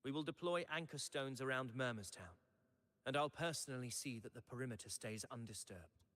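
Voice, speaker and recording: A man's voice: mid-range voice, animated, brisk, fairly bright tone, close to an everyday voice. A man playing a loyal, grave soldier in a video game, speaking with formal deference. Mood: dutiful. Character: loyal, dutiful soldier serving his commander. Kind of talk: dramatic game voice acting. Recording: long hall reverb.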